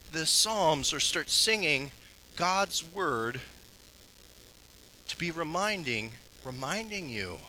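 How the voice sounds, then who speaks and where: A man pastor giving an earnest sermon that ends in prayer, swinging between strong, raised passages and low, soft ones. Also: slight background noise, rough recording.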